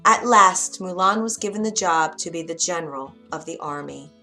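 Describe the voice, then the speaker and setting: A woman narrating a children's bedtime story with a clear, expressive reading. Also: lowish voice, moderate pace, soft background music.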